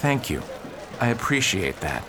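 An actor playing an upright, earnest preacher who pleads and reasons with others in a radio drama. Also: male voice, medium pitch, sing-song, unhurried, bright, forceful tone. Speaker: reverend at a mission.

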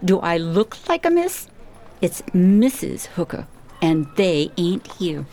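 A woman's voice: fairly low pitch, sing-song, slow pace, mellow, exaggerated voice. A woman playing an irritable, suspicious married village woman in a radio drama, brusque and indignant in a colloquial way. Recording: faint background noise.

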